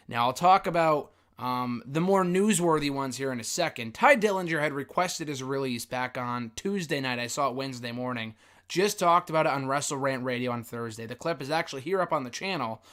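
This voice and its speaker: Male host, recovering from a cold, hoarse and raspy, casual opinionated wrestling news talk, mid-pitched. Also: a bit bright. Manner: chatty, opinionated, frequent um fillers. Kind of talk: casual news commentary to camera.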